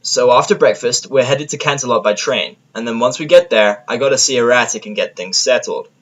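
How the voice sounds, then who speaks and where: A man's voice: medium pitch, a little fast, fairly bright tone, close to an everyday voice, rough recording. A man voicing an easygoing, friendly female pony in an audio story, speaking casually. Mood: casual, improvising.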